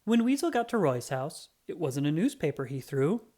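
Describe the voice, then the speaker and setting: A narrator reading a children's storybook aloud in a dramatic, expressive way. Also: medium pitch, moderate pace. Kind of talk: animated scripted storybook read-aloud.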